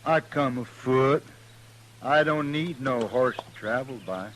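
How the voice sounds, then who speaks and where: Stubborn ozark hillbilly gunman, real slow drawl, old noisy western radio show. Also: mid-range voice, a bit bright. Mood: stubborn, calm. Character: stubborn, drawling Ozark mountain feudist with a rifle. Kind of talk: 1950s Western radio acting.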